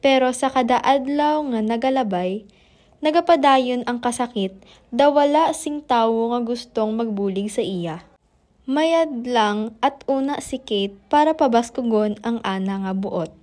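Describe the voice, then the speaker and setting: A woman's voice: on the high side, a little slow, darkish tone. A woman with a Filipino accent narrating a scripted radio drama. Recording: music bed under the voice.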